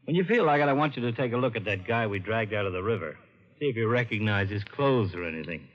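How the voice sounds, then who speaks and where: An actor playing a gruff, plain-spoken police detective in an old-time radio crime drama. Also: male voice, lowish voice, animated, quick pace, lightly acted. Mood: businesslike. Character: gruff, practical male police detective, the hero's colleague. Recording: muffled, reverberant old radio recording.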